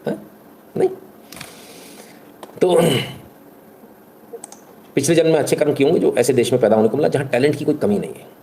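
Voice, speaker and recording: A man's voice: on the high side, a little fast, pauses often, dark tone, slight background noise. A man hosting live news commentary, speaking emphatically and colloquially with rhetorical questions and bits of English mixed in. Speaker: live commentary show host.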